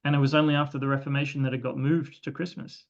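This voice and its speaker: A man's voice: mid-range voice, little pitch movement, quick pace, dull audio. A man with an Australian accent hosting an interview in a conversational way. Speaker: interviewer, host.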